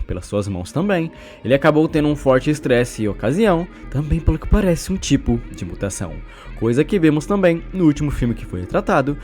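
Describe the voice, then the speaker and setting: A man's voice: mid-range voice, a little mellow. A man with a Brazilian accent narrating a recap of a horror story.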